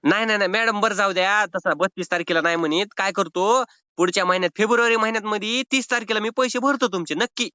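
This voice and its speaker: Fast-talking, cheeky rural Marathi loan dodger played by a man. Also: high-pitched, pushed and bright, smooth, dry recording. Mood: mock-earnest. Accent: rural Maharashtrian Marathi. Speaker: prank caller playing a loan defaulter. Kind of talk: comic phone call skit. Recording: slightly dull phone-call audio.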